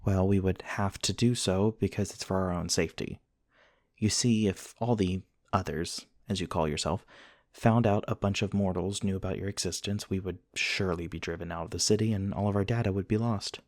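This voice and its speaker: A man's voice: low voice, fairly even intonation, fairly quick, a little mellow, dry recording, studio quality. A man playing an earnest, formal, slightly awkward research scientist in a one-sided ASMR roleplay, speaking politely in wordy, precise sentences. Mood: earnest, reassuring.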